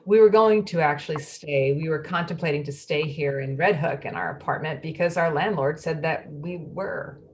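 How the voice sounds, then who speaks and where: A woman's voice: sits low, moderate pace, few pauses, a little mellow, lightly acted. A woman reading the part of a wife who wanted to evacuate, retelling it earnestly. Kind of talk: readers theatre monologue.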